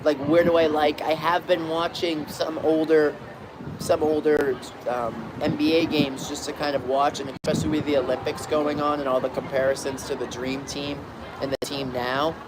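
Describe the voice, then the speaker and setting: A man's voice: fairly high pitch, level tone, normal speed. A young man chatting casually on a balcony, rambling with many fillers and restarts while talking to his viewers. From Boston area, Massachusetts. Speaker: streamer reading chat. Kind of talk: casual live chat. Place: outdoor balcony. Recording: clear outdoor traffic noise. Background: street and traffic noise.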